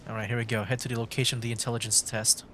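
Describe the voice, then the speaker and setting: A man streaming a video game with casual commentary, thinking aloud in hesitant, halting bursts. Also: mid-range voice, flat delivery, fairly bright tone, a little hiss or hum.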